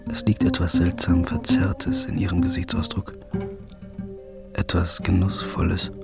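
A man narrating in the first person in an audio drama, hushed and brooding. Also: deep voice, wildly swooping pitch, measured pace, gravelly, loud music behind the voice. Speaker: narrator and lead actor.

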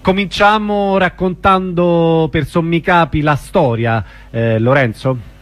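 A man with a slightly higher voice hosts a radio theatre program. He speaks from a dry studio, measured and announcer-like, introducing each guest and each show date. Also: no room sound. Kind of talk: radio interview chat.

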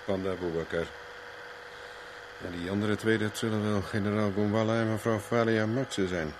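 An actor playing a wry, unruffled private investigator in a 1970s Dutch radio play, bantering casually with a sardonic edge. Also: male voice, lowish voice, fairly even intonation, normal speed, natural voice. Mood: musing. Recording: noisy vintage radio drama recording.

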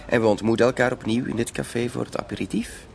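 A man's voice: medium pitch, steady tone, fast talker, creaky, a little mellow, slight background noise, natural voice. A man playing a self-assured blackmailer in a radio drama, with a mock-polite, insinuating way of talking. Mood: cool, threatening.

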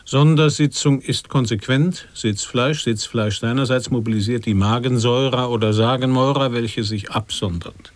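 A man's voice: medium pitch, no room sound, lightly acted. An actor playing a solemn man who pronounces nonsensical definitions as if they were logic, in an experimental radio drama. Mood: pseudo-scholarly. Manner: deliberate, pseudo-logical pronouncing.

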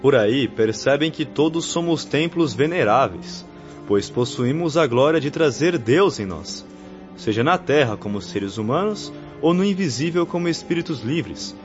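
A man plays an earnest young missionary from the spirit world, explaining things with patient, formal solemnity. His mid-pitched voice sits in a dull radio drama recording with faint music behind it. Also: animated, measured pace, close to an everyday voice. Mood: solemn, instructive.

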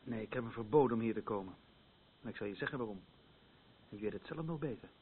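An actor in a radio play playing a hospitable, protective friend who speaks frankly. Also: male voice, on the low side, fast talker, natural voice. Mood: stern, indignant. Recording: thin phone-like old radio recording, noisy.

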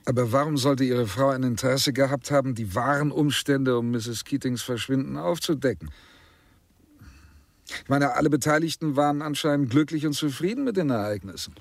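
An actor playing a courteous, sceptical male lawyer in a German radio play, questioning in a measured, tactful way. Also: medium pitch, no room sound, natural voice. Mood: puzzled.

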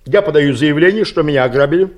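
A man's voice: a little high, quick pace, lightly acted. An actor playing a scheming, eccentric older man in an audio play, laying out his plans in an insistent, matter-of-fact way. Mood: brisk plotting. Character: scheming, eccentric older male friend hatching crime plans.

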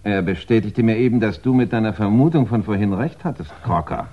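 A man's voice: lowish voice, lively intonation, fairly quick. An actor playing a dutiful, matter-of-fact male police detective in a 1960s German radio crime play. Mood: businesslike. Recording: dull 1960s radio recording.

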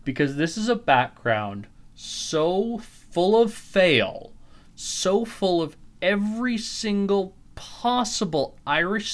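A young man hosting his own podcast, ranting sarcastically and swearing freely as he mocks a story. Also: high-pitched. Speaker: podcast host. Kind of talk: solo podcast monologue.

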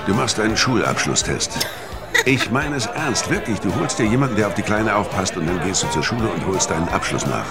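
A man's voice: on the low side, animated, brisk, over a noisy crowd. An actor playing a weary, hard-bitten hitman in a radio crime drama, terse and matter-of-fact as narrator and in dialogue. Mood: urgent, earnest. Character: weary, hard-bitten male hitman recounting his brutal past. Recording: music behind the voice.

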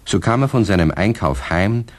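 A man with a low voice tells a children's story as the narrator of a radio play. His delivery is scripted and very animated, with pitch that rises and falls widely through each phrase. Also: normal speed.

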